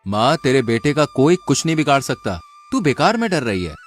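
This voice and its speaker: A man's voice: medium pitch, very animated, normal speed, faint music behind, natural voice. A man voicing a cunning, confident young village man in a cartoon moral story, speaking persuasively.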